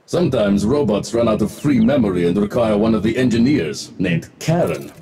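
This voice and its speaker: A man's voice: on the low side, big pitch swings, moderate pace, a crowd in the background. A man voicing a smug, wisecracking futuristic mech-suit warrior for a video game, in punchy, boastful lines. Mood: wry, joking. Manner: boastful, punchy, clipped callouts. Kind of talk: video game voice pack barks.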